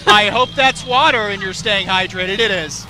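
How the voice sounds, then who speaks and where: A man's voice: high-pitched, normal speed, noisy background. A man with a Southern American accent giving jokey, chatty live commentary on a street parade outdoors. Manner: jokey, chatty, laughs often.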